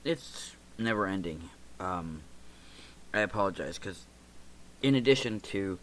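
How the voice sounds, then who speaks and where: Man, forties, very low voice, stuffy nose, congested, halting speech, lots of fillers, podcast host. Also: animated, a bit bright, a little hiss or hum. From United States. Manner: halting, with many fillers and restarts. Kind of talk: personal reflective talk.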